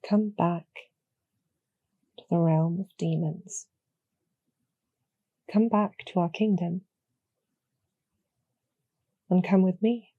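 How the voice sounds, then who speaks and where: A woman playing a calm, courteous female demon general in a roleplay audio, speaking softly with measured, gently formal persuasion and a husky, creaky edge. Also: on the low side, dry recording, muffled recording, full character voice. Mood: calm, persuasive. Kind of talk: slow, soothing scripted roleplay audio for sleep.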